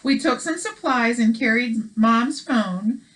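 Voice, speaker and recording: A woman co-hosting a children's read-aloud, mixing expressive storytelling with casual chat. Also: fairly high pitch, slow pace, low-quality recording.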